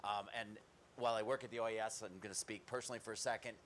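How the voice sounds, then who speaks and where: A man's voice: lowish voice, steady tone, rapid-fire, a bit bright, a little hiss or hum. A man from the United States speaking on a conference panel, upbeat with some fillers.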